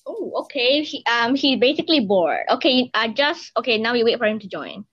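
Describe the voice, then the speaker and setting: A bubbly woman hosts a casual podcast chat in a high voice. The sound is dry and slightly dull, like a lo-fi mic. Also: animated, a little fast, a bit bright, no room sound. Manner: bubbly, laughs often. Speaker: podcast host.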